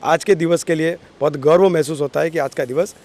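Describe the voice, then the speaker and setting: A man's voice: on the high side, fairly even intonation, a little fast, darkish tone. A man from Maharashtra, India, giving earnest, effusive interview remarks as a party politician, often repeating phrases for emphasis. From born in Maharashtra, India. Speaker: political party office-bearer.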